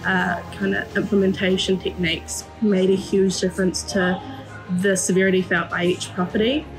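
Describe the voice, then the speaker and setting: A woman's voice: medium pitch, level tone, normal speed, music in the background, rough recording. A woman with a New Zealand accent, a policy worker from a farming family, answering interview questions in an articulate, policy-style way. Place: indoor room with large windows.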